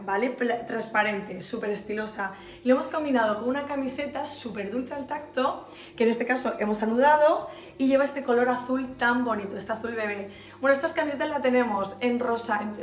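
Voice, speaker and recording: A hoarse woman in her thirties, from Spain, chatting enthusiastically to viewers as she shows off new clothes from her shop. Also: fairly high pitch. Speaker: clothing shop owner presenting new stock. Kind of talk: casual fashion try-on haul. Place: bright indoor shop space by a staircase. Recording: echoey room.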